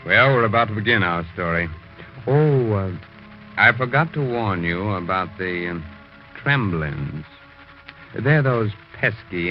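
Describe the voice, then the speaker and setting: A muffled 1940s radio recording with faint music presents a macabre horror host. He speaks in a deep male voice, teasing and tongue-in-cheek, with deliberate hesitations.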